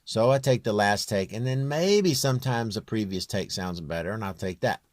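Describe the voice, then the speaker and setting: A man with a low, near-bass voice talking through a home music production tutorial in a halting way, thinking aloud as he works. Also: animated, no room sound, studio quality. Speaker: home musician demonstrating his vocal production. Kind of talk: informal screen tutorial.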